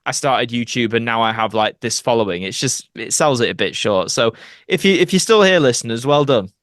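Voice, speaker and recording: A man's voice: medium pitch, expressive, fairly quick, fairly bright tone, no room sound. Young guy rambling casually in an interview, kinda self-deprecating, dry recording. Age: young adult. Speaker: podcast guest, actor and video creator.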